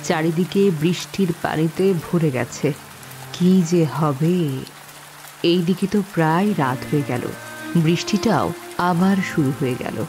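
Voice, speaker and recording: A woman's voice: low voice, measured pace, a little mellow. A woman playing a worried, pious middle-aged village mother in a cartoon, fretful and prayerful. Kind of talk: cartoon story dialogue. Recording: music and rain behind voice.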